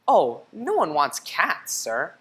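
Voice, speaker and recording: A woman's voice: low voice, wildly swooping pitch, slow, full character voice. Storybook shop lady, female reader, blunt, matter-of-fact, mildly dismissive. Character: blunt pet store saleswoman.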